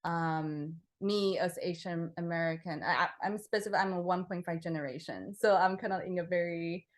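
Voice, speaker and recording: A young woman from Taiwan talks through her work in a relaxed interview. Her voice sits a little low, and she hesitates often, filling gaps with ums and restarting sentences. She laughs easily between thoughts. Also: normal speed. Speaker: interviewed composer. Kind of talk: casual interview answers.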